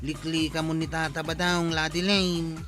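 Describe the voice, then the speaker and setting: A man's voice: a little high, little pitch movement, moderate pace, lightly acted. Man as insistent Ilocano village relative, music behind. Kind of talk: audio drama acting. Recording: music under the voice.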